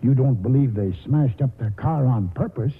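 An actor playing an old grandfather gently questioning his wife in a 1940s radio drama. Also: medium pitch, moderate pace, husky. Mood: gently doubting. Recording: thin phone-like old radio recording.

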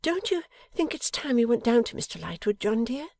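Woman acting pouting young wife, much higher and sweeter. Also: very animated, fairly quick, gravelly, a little mellow, no echo, exaggerated voice. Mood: coaxing. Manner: coaxing, pouting, emphatic. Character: pouting, affectionate young wife, playful and coaxing. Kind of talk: dramatised audiobook character.

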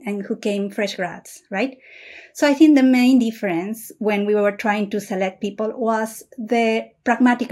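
A woman from Peru, a software engineer, answering questions in a casual interview over a video call. She speaks in a measured, thoughtful way, with frequent "uh" pauses. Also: medium pitch.

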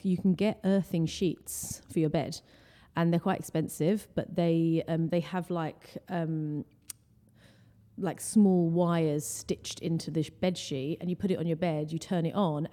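A woman's voice: fairly low pitch, warm tone, big-room sound. An English woman, a guest, chatting casually and explaining things at length with plenty of fillers. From England. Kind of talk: casual interview chat.

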